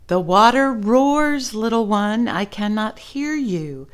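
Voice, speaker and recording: A stern storybook mother, played by a woman reading aloud. She scolds in formal, clipped phrases, pitched a little high. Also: expressive, slow, smooth. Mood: impatient.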